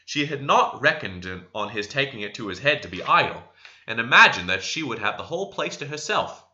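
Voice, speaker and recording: Male amateur audiobook reader: very bright, forward tone, lively melodic swings, slightly stumbling delivery, lo-fi recording. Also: mid-range voice, brisk. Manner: expressive reading with occasional stumbles. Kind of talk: scripted narration of a classic novel.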